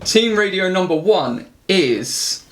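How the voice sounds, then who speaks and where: A man's voice: sits high, expressive, takes it slowly. A man casually hosting an online quiz, addressing the contestants on a group call. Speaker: quiz host. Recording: clearly noisy mic with clicks.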